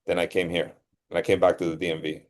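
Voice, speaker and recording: A middle-aged man from Costa Rica speaks in a fairly low voice. He answers interview questions in a relaxed, rambling way, often correcting himself mid-sentence. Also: quick pace. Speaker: guest, surgeon. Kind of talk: casual interview storytelling.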